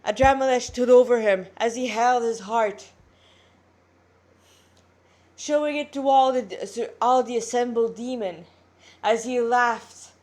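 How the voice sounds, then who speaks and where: Tired woman reading a story, higher-pitched. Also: slow, pauses often, darkish tone. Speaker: amateur storyteller reading aloud. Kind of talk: narrated story reading with character dialogue. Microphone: tablet recording.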